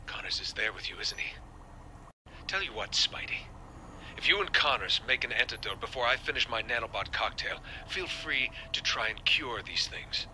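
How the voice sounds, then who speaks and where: A menacing video game scientist villain, smug and cold. The voice is extremely tense and cutting at an average male pitch, deliberate and ominous, with a faint ambient noise underneath. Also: animated, sharp tone, rough recording. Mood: smug menace.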